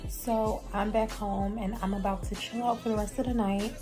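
A woman's voice: mid-range voice, level tone, moderate pace, over music. A young adult woman with an American accent, a vlogger chatting casually to the camera. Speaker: beauty and lifestyle vlogger.

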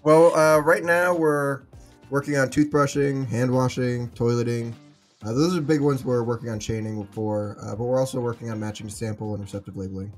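A man's voice: medium pitch, steady tone, moderate pace, faint music behind. A man in an improvised role-play as a behavior technician, talking casually.

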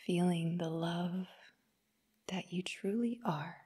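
A woman guiding a meditation in a soft, breathy, whispery voice, gentle and soothing, in short phrases with long pauses. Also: lowish voice. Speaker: meditation guide. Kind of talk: guided meditation narration.

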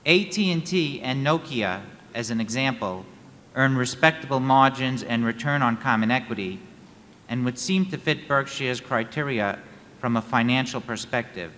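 A man from the audience reading out a formal question in a measured way. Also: medium pitch, fairly bright tone. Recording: echoey hall with faint noise.

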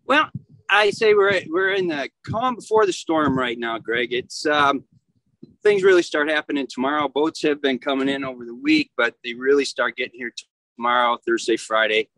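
A middle-aged man talks casually over a video call from outdoors, hesitating and restarting as he hunts for words. His voice sits at an average pitch and sounds close and dry, with little room around it. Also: expressive, normal speed, sharp tone, no echo, rough recording. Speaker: yacht club commodore. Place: outdoors at a marina.

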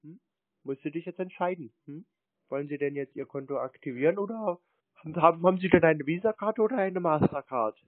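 Casting: cheeky male phone prankster, slightly high voice, casual and teasing, narrow dry phone sound. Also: a little fast, no room sound. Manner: feigned earnest, cheeky, teasing. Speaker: prank caller answering scam calls.

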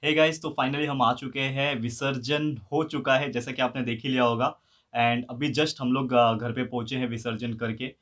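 A man's voice: mid-range voice, fairly even intonation, normal speed, fairly bright tone. A man in his thirties, a vlog host, talking casually to a phone camera held at arm's length and mixing English words into his speech. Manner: chatty, easygoing, mixes English words into Hindi. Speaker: vlogger host. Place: indoor room and gym.

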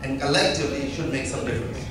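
A middle-aged man giving an animated motivational talk at a medical seminar, speaking into a handheld microphone in a large auditorium. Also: medium pitch, rapid-fire, recorded from a distance. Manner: animated, emphatic, counting off points. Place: large auditorium with seated audience. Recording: echoey hall, filmed from afar with noise.

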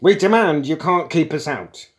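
A man voicing an angry young philosopher in an audiobook, shouting belligerent, emphatic demands. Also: a little high, lively intonation, moderate pace, voice pushed up, natural voice.

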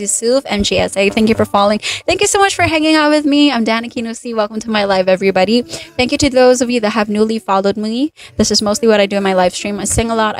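A young woman from the Philippines with a Filipino accent, slightly hoarse, chatting quickly to viewers into a handheld mic during a home livestream. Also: mid-range voice. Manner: quick, chatty, mixes in Tagalog words. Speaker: livestream singer and host. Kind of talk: casual livestream chat between songs. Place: living room indoors. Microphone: handheld microphone.

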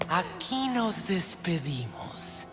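Slow, gloating villain. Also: male voice, high-pitched, big pitch swings, over loud music, exaggerated voice. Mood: gloating menace. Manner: slow, theatrical, mockingly courteous. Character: sinister, gloating male cult villain, mockingly polite. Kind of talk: video game villain acting.

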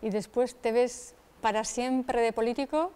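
A woman in her forties, an interviewer, asking friendly questions with short encouraging murmurs on a dark studio set. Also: on the high side, measured pace, smooth, big-room sound.

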